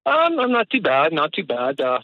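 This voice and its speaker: A man's voice: a little high, wildly swooping pitch, normal speed, no echo. A middle-aged man, calling in and telling his story hesitantly, with many fillers and nervous laughs. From Canada. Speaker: caller. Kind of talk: casual phone-in conversation. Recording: dull phone-call audio.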